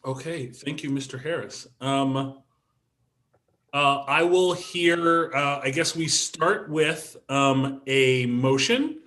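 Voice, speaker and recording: A man's voice: mid-range voice, slow, fairly bright tone. A man chairing a council meeting over a video call, guiding the proceedings with frequent ums and restarts.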